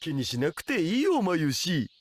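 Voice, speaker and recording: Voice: medium pitch, sing-song, normal speed. A performer playing a laid-back, nerdy young male otaku in a Japanese anime radio drama, talking in a casual, slangy way. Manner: casual, lazy drawl with internet slang.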